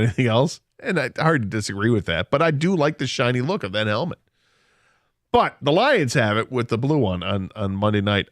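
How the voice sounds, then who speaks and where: A man's voice: medium pitch, dry recording, studio quality. A man hosting a sports talk radio show, opinionated and emphatic, laughing easily.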